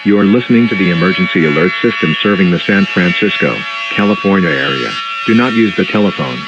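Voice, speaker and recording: A man playing a solemn, official emergency alert announcer, reading formal, measured scripted notices. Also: sits low, loud music behind the voice, natural voice. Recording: muffled lo-fi broadcast audio.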